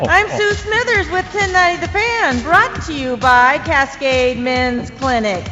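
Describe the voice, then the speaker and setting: An actress playing a perky radio traffic reporter delivering a short local traffic report. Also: female voice, high voice, a little slow, big-room sound, highs cut off, music in the background.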